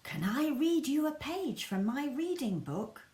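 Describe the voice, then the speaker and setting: A woman's voice: sits high, sing-song, a little slow, darkish tone, echoey room, voice pushed up. A woman voicing an indignant, whiny little girl elephant in a children's story. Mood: pleading. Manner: whiny, pleading.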